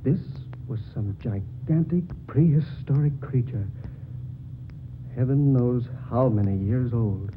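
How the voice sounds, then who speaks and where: A man's voice: mid-range voice, slow pace, narrow, tinny audio, close to an everyday voice. An actor playing a confident, educated male investigator in an old-time radio drama, articulate and theatrical. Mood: insistent. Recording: thin, noisy old radio recording.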